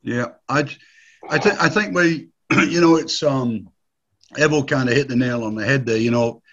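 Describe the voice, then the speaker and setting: A man's voice: mid-range voice, moderate pace, fairly bright tone, dull audio. A man in his fifties, a former footballer, reminiscing in a rambling, nostalgic way with frequent restarts and laughs. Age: 50s. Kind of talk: casual reminiscing chat.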